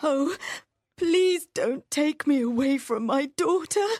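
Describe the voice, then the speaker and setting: A man voicing a frightened twelve-year-old village girl in a high pleading falsetto, as an audiobook reading. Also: lively intonation, slow pace, no room sound, clean studio sound, full character voice. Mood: pleading, crying.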